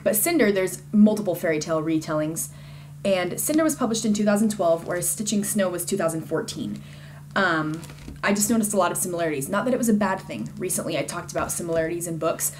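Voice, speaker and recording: Woman with lowish voice chatting about books. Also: slight background noise. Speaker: book reviewer. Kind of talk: casual book review chat.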